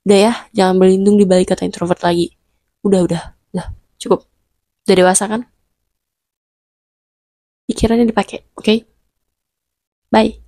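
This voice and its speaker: A woman's voice: medium pitch, steady tone, moderate pace, pauses often, warm tone, no echo, studio quality. A young woman with a stuffy nose from a cold, giving chatty advice in a casual vlog, slipping in English phrases and playfully scolding her viewers. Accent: Indonesian. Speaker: vlogger.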